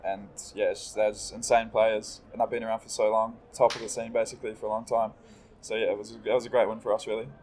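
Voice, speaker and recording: A young New Zealand man talks fast and easily in a casual interview, his accent plain. His voice sits low and stays flat, with little rise or fall. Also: slight background noise. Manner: laid-back, quick, agreeable. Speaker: esports player.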